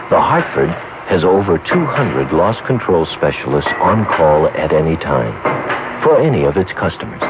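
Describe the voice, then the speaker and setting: A man reading a radio commercial in a measured, earnest way. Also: low voice. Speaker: commercial announcer. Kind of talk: scripted radio advertisement. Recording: dull old radio recording with heavy hiss.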